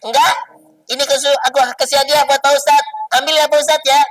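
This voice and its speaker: Man playing a pushy, high-voiced scheming boy. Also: level tone, brisk, a bit bright, no echo, recorded from a distance, low-quality recording. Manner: pushy, blurting questions. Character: nosy, greedy, scheming boy Bongkeng. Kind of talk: children's storytelling.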